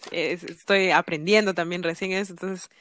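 A young woman hosting a casual podcast interview, chatty and quick to laugh, with lots of fillers. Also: lowish voice, fairly even intonation, fairly bright tone, a little hiss or hum. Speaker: podcast host.

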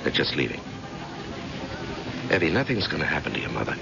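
A protective leading man, gravelly, voice swings around a lot, muffled noisy old radio recording. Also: extremely low pitch, sing-song, brisk, full character voice. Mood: gentle, subdued. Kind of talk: old-time radio drama acting.

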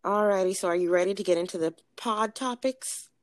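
A woman co-hosting a podcast in casual chat, blunt and profane, her voice a little raspy from cigars. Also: medium pitch, brisk, dry recording. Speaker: podcast co-host.

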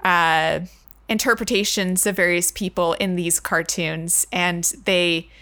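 A woman's voice: on the low side, steady tone, slow pace, pushed and bright. A woman co-hosting a book discussion, talking conversationally and searching for her words as she goes. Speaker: book discussion podcast co-host.

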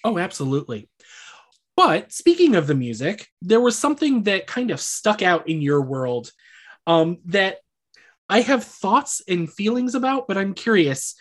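Podcast cohost who rambles and hedges a lot, mid pitch. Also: sing-song, unhurried. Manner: hedging, rambling, qualifies every claim. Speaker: podcast co-host. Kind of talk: casual chat about musical theater.

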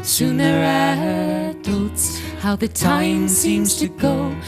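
A woman singer-songwriter, singing folk softly or chatting casually from the stage over a plucked acoustic guitar. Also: on the high side, animated, very slow.

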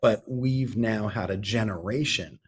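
A middle-aged man answering interview questions as a guest, measured and given to emphatic repetition. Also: fairly low pitch, slight background noise. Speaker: interview guest, theater artistic director.

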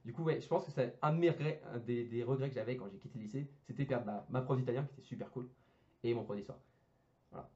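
Fast-talking young man, hesitant and slangy. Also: mid-range voice, mellow, lo-fi sound. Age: young adult. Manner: hesitant, many fillers and restarts, slangy. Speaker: engineering student guest. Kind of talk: casual podcast chat.

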